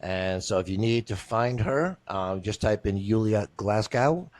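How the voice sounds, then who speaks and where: A man's voice: on the low side, lively intonation, a little slow, darkish tone, dry recording. A man hosting an interview on a video call, jokey and rambling, often repeating himself. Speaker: interview host. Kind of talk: casual interview.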